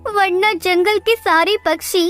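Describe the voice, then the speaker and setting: A woman's voice: very high voice, steady tone, unhurried, fairly bright tone. A woman voicing a meek, poor little female bird in a children's cartoon, speaking in a plaintive, earnest way. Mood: sorrowful, helpless. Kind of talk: Hindi children's cartoon dubbing.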